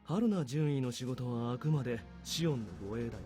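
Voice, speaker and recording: A man voicing a calm, calculating military major in a visual novel, speaking with dry, understated authority. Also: medium pitch, racing, faint music behind, lightly acted. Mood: businesslike, persuasive. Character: calm, calculating male military major giving covert orders.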